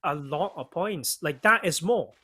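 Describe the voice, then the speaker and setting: Husky chatty esports caster, man. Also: on the high side, lively intonation, measured pace, pushed and bright. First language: not Mandarin. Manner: chatty, hesitant with fillers and restarts. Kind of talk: casual esports commentary.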